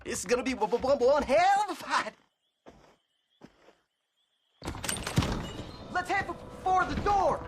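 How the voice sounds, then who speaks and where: High-pitched, stuttering jittery outlaw. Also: male voice, very animated. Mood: urgent. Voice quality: heavy stutter. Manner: frantic, halting. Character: jittery, grateful stuttering male outlaw sidekick. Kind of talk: video game cutscene acting. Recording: noisy gunfight effects behind.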